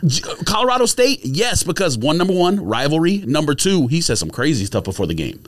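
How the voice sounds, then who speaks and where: Argumentative male podcast host, slightly high voice. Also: sing-song, a little fast. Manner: argumentative, insistent. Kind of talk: heated casual debate.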